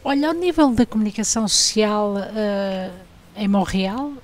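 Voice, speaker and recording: A woman's voice: mid-range voice, expressive, unhurried. A woman interviewer who asks brief, direct questions.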